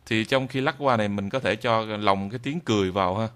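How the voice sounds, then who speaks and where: A man narrating an informal software tutorial in a halting way, with frequent drawn-out hesitations. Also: on the low side, soft background music. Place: indoors at a computer, screen recording voice-over.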